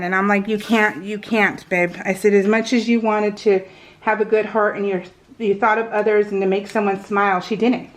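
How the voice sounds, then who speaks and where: A middle-aged American woman telling personal stories in a casual, informal, animated way, slipping into retold dialogue. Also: mid-range voice. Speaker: craft video host. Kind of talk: casual chatty storytelling.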